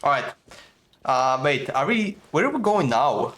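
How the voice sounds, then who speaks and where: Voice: medium pitch, big pitch swings, measured pace. A game streamer giving casual commentary, reacting, questioning and thinking aloud while playing. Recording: voice over game music.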